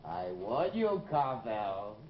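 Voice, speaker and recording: A man's voice: fairly low pitch, very animated, slow pace, very noisy. An actor playing a sinister faceless monster of a man's soul, menacing and taunting, in old radio horror style. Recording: muffled old radio recording, music behind.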